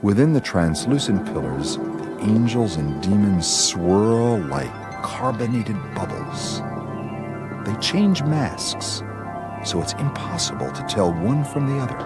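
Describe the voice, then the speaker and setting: An actor as the measured, atmospheric storyteller of a scripted radio drama. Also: male voice, fairly low pitch, big pitch swings, vocal fry, over loud music, exaggerated voice. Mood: slow, atmospheric. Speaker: narrator.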